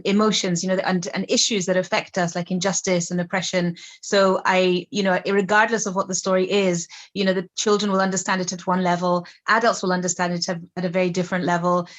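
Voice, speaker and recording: A woman in her forties with a slight Scottish accent, an author and storyteller answering interview questions thoughtfully, with many restarts. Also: lowish voice. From Kenya.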